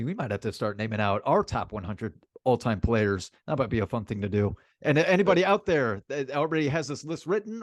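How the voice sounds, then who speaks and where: A man's voice: mid-range voice, no echo, studio quality. A man co-hosting a sports podcast in casual, opinionated chat, emphatic and informal, repeating phrases for emphasis.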